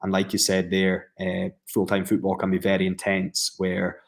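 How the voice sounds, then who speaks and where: A Scottish footballer answers at length on a podcast, speaking in a steady, reflective way with many fillers and restarts. His voice sits low and barely moves in pitch. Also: moderate pace, vocal fry. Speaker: professional footballer guest.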